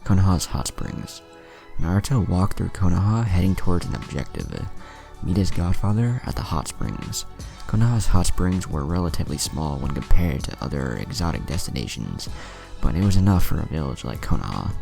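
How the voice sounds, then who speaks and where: Male story narrator, extremely low and creaky, scripted read over clear background music. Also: sing-song, normal speed. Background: music under the voice.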